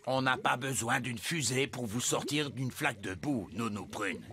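An actor voicing a stubborn, dignified old elf sage in a cartoon, with a pompous, fussy manner. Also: male voice, medium pitch, lively intonation, normal speed, pushed and bright. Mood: exasperated. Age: older. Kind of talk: animated cartoon dialogue. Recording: constant cartoon music behind. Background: music.

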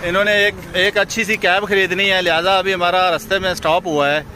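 A man's voice: high-pitched, flat delivery, brisk, bright, forceful tone, slight background noise. A man from Pakistan, narrating a travel vlog outdoors and chatting to viewers as friends. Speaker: travel vlogger. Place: outdoors by roads and a river.

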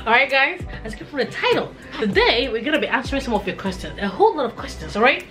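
A woman in her thirties from Ghana, hosting a family vlog at home in an animated, chatty way and checking in with the camera often. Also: a little high, fast talker, faint music behind. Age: 30s. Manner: animated, chatty, frequent "all right?". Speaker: family vlogger host. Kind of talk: casual chat to camera. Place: indoor room at home.

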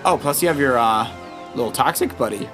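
A man's voice: medium pitch, expressive, brisk. Casual man coaching over game music. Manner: encouraging, gives tips and suggestions. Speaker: dad co-hosting a let's play with his child. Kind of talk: casual gameplay chat and coaching. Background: loud video game music.

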